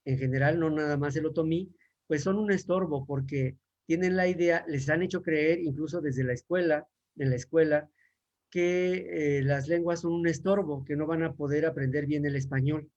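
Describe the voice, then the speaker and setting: A man's voice: on the high side, fairly even intonation, normal speed, darkish tone. A man from Mexico, a university professor, answering questions in an online talk with frequent fillers and restarts. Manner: hesitant, many fillers and restarts. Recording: dull lo-fi sound.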